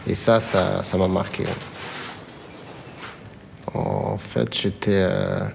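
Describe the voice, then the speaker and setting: Gravelly low-voiced man from Portugal. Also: steady tone. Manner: reflective, measured. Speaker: leather cutter. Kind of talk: documentary interview. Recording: noisy background.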